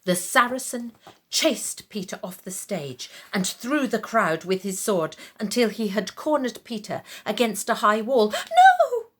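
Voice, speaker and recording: A woman's voice: medium pitch, unhurried, a little hiss or hum. A woman reading a story aloud dramatically, with theatrical, suspenseful phrasing.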